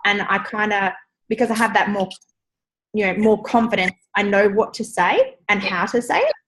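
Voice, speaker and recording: A woman's voice: medium pitch, lively intonation, normal speed, a bit bright, slight background noise, poor audio. Call participant, woman, casual and hesitant. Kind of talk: sharing a personal update. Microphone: video call.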